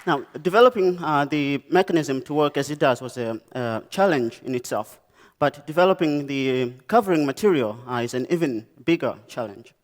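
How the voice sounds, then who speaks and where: A young man from Malawi with a Malawian accent, giving a conference talk about his design work, pausing often with "um" and "uh". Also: fairly high pitch, expressive. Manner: halting, many "um" and "uh" pauses and restarts. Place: lecture stage.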